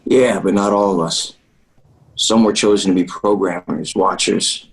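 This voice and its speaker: Low, earnest young man. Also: steady tone, measured pace, rough recording. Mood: grave, guilty. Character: earnest, guilt-ridden young man leading trapped boys. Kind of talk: actor's table read over video call. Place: remote video call reading. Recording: video call audio.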